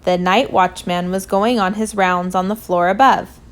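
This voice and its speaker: A woman reads a children's storybook aloud in a medium-pitched voice. Her delivery is expressive narration made for young listeners. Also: normal speed. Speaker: storybook reader.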